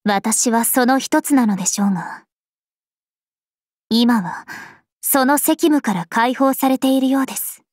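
Regal, slow-spoken spirit princess. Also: female voice, a little high, no echo. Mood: serene, reflective. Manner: formal, measured, elegant. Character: regal, serene young female planetary spirit princess. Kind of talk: scripted anime game voice lines. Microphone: studio microphone. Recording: music behind a close dry studio voice.

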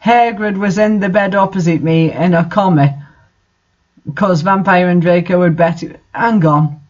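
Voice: medium pitch, a little slow. Bemused fan fiction reader, slightly muffled. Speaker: host reading bad fan fiction aloud. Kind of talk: fan fiction reading with casual commentary.

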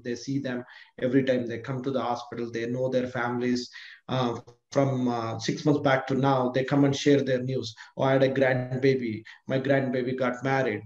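A man's voice: medium pitch, a little mellow. A man speaking as a kidney specialist on a video call, encouraging and reassuring. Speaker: nephrologist. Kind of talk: panel discussion. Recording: roomy, dull video call audio.

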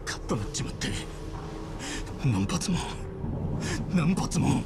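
A man's voice: mid-range voice, sing-song, slow pace. An actor playing a distraught, guilt-stricken young yakuza man in a video game drama. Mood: shocked, distraught. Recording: loud ambient noise behind.

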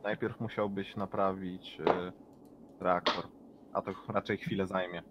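A man's voice: low-pitched, flat delivery, a little slow, highs cut off. A man playing a tabletop role-playing game, casually debating with the other players. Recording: loud background noise with clicks.

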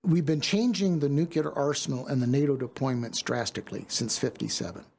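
A man's voice: medium pitch, fairly quick, creaky, darkish tone, breathy edge. An American man explaining as an expert in a documentary interview.

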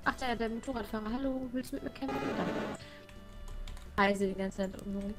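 A woman's voice: a little high, moderate pace. A young woman giving playful, giggly, casual commentary as the host of a let's play, chatting with a co-player. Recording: game music behind the voice. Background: video game music.

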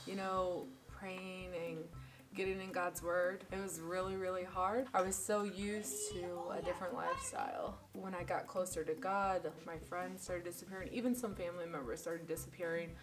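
A woman in her twenties sharing her story casually as a panel guest, talking in quick animated bursts. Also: lowish voice, far from the mic, music in the background. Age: 20s. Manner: animated, fast bursts with 'like' and 'you know'. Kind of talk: casual testimony. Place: small wood-paneled studio room.

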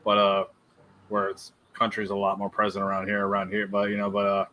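A man's voice: lowish voice, completely flat delivery, fairly quick, bright, forceful tone, low-quality recording. A man co-hosting an interview over a video call, talking loosely with lots of fillers and repeated checks on whether he's understood. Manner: loose and filler-heavy, keeps asking "you know what I'm saying". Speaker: co-host interviewer. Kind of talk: casual interview chat. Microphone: webcam on a video call.

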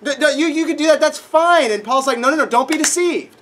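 A young man preaching a sermon in a dark auditorium, a little nasal from a cold, animated and acting out voices in his stories. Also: very high-pitched, brisk. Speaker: pastor. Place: dark auditorium with projected screen.

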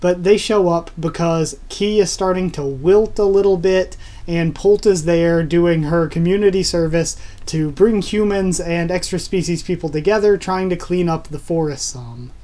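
A man's voice: sits high, moderate pace, hardly any pauses, warm tone. Young man, stuffed-up nasal voice, enthusiastic, rambling, casual webcam talk, small room. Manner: enthusiastic, rambling with many fillers. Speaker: manga reviewer. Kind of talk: casual video review. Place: small room at home, indoors.

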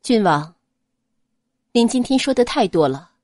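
A woman voicing a cool, guarded young noblewoman in an audiobook, speaking in a calm, measured way. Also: fairly high pitch, dry recording, studio quality. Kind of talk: audiobook character voice.

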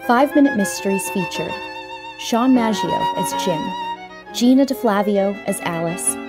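Woman announcer over violin music. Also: mid-range voice. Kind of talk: scripted credits read.

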